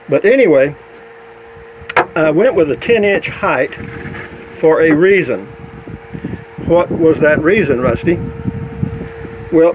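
A man's voice: a little high, slight background noise, rough recording. Man, casual self-shot vlog, folksy rambling tone, keeps checking in with the viewer. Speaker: vlogger showing his camper build. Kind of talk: casual walkthrough. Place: in and around a pickup camper shell outdoors.

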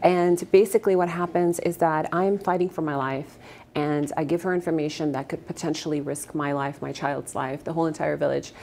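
Low-voiced woman telling her story earnestly. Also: steady tone, brisk, vocal fry, slight background noise. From Afghanistan. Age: 30s. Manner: earnest, fluent storytelling with soft fillers. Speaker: actress guest. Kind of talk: morning TV interview. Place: TV studio set, indoors.

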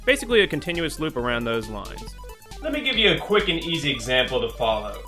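A man's voice: on the high side, sing-song, fast, pushed and bright, faint music behind. A man in his twenties narrating a scripted video essay as host, wry, sarcastic and profane, recorded in a small room at home. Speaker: host of a video game movie review show.

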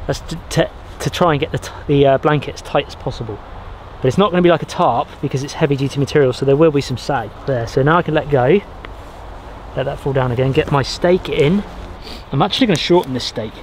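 A man in his thirties, outdoors in a pine forest, explaining how he pitches a shelter and gathers fire materials as he works, often restarting his sentences. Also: quick pace, slight background noise. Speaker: bushcraft vlogger. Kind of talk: casual outdoor how-to narration.

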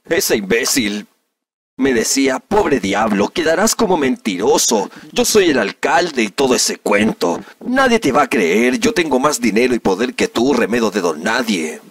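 A man's voice: medium pitch, very animated, normal speed, husky, no echo, recorded from a distance. A man dubbing an aggrieved young man in an interview, in neutral Latin American Spanish with indignant, melodramatic film-dub diction. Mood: agitated recounting. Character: aggrieved young man recounting a humiliation to reporters. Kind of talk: amateur comedic dub of a news interview.

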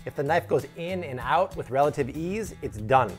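A man's voice: fairly high pitch, lively intonation, moderate pace, faint music behind. A man in his thirties hosting an upbeat cooking tutorial in a home kitchen, playful and full of jokey asides. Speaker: cooking show host.

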